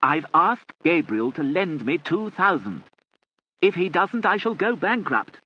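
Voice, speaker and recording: A man reading an audiobook, voicing a harried, penniless aristocratic lord who shouts and pleads anxiously. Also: on the high side, wildly swooping pitch, fairly quick, gravelly, breathy edge, muffled recording, pitched above the performer's own voice, full character voice.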